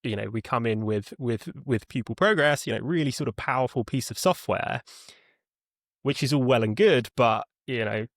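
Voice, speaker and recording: Man, mid pitch, dry sound, conversational, rambling, hedging, podcast guest. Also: normal speed, no echo. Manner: rambling, hedging, repeats words as he thinks. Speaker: podcast guest, head of customer experience. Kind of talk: conversational explanation in an interview.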